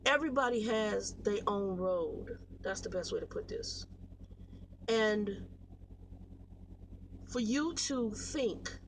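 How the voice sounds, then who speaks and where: An American woman in her late forties talks casually to her viewers, rambling through stories in a blunt, animated, slangy way. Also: medium pitch, slow pace, long pauses, a little hiss or hum, rough recording. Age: 40s. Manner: blunt, animated storytelling with slangy, salty language. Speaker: truck driver vlogger.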